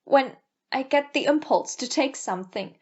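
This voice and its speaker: Woman acting high-voiced, nervous young lady. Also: lively intonation, normal speed, slightly rough, dull audio. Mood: distressed. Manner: hesitant, faltering under questioning. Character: nervous, defensive young lady hiding a secret. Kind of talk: audio play acting.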